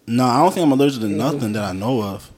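A man's voice: mid-range voice, fast talker, darkish tone. A young man hosting a casual podcast, loose and joking, swearing freely. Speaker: podcast host. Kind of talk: casual chat.

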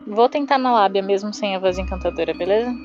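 A woman's voice: mid-range voice, fast, darkish tone, faint music behind. Brazilian woman gamer, slightly dull sound. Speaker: player in tabletop game. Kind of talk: casual role-play narration.

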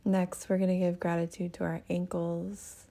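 A woman leading a guided meditation as a teacher, speaking in a gentle, soothing manner with breathy phrase endings. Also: lowish voice, completely flat delivery, moderate pace.

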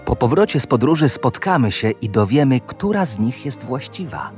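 An actor playing a loyal, earnest young knight in a fairy-tale audio drama. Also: male voice, mid-range voice, very animated, normal speed, a little mellow, faint music behind, close to an everyday voice. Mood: earnest, resolute.

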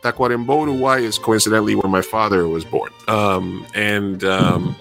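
A man's voice: deep voice, a bit bright. A man in his forties telling his story casually as a podcast guest, slipping Spanish phrases into his English. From born in Colombia, raised between South America and the US. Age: 40s.

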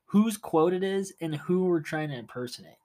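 A man's voice: a little high, expressive, normal speed, darkish tone. Giggly young man with a stuffy nose. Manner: laughs a lot, teasing. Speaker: podcast co-host. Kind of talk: casual podcast chat.

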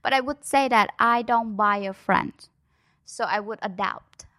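A woman's voice: fairly high pitch, unhurried, fairly bright tone, no room sound, studio quality. A young woman whose first language is Vietnamese, a guest in a casual interview who talks with many fillers and restarts.

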